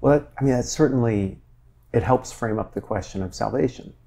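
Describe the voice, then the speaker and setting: A man's voice: mid-range voice, brisk, gravelly. A man in his forties hosting an interview, talking in an earnest, searching way while gesturing, recorded with a lapel mic in a wood-panelled library. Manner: earnest, searching, animated with hands. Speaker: interviewer, show host. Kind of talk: conversational interview.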